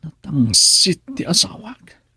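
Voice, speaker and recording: An actor playing a troubled, lovelorn young husband in a radio drama, speaking in a raspy, halting, emotional way. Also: male voice, fairly high pitch, sing-song, bright, forceful tone, dry recording, clean studio sound, heavily acted. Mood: troubled, hesitant.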